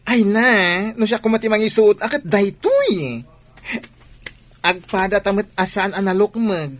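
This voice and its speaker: Young bride-to-be played by an actress for radio drama. Affectionate, slightly petulant, middle register. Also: female voice, mid-range voice, sing-song, measured pace. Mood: fond, fussing.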